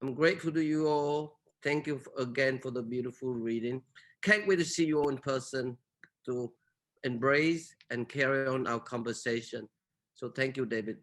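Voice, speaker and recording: Male event host on a video call, medium pitch, effusive and openly affectionate. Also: moderate pace, fairly bright tone. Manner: effusive, expressing gratitude and affection. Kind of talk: closing remarks at an online poetry reading.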